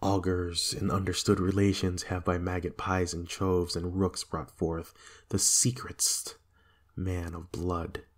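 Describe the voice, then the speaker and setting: A man acts out a haunted Shakespearean king in a dramatic reading. His voice is low and husky, and the verse comes slowly, with a brooding, fearful, raging temper. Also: flat delivery. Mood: grim, brooding. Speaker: amateur reader in a dramatic reading.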